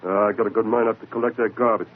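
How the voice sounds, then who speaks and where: A man's voice: fairly low pitch, flat delivery, very fast, slight background noise, natural voice. A man acts out a grumbling New York janitor in an old radio play. He is surly, slangy and tough. The recording is narrow and tinny. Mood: aggrieved grumbling. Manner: sullen, slangy wise guy. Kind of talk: 1940s radio drama acting.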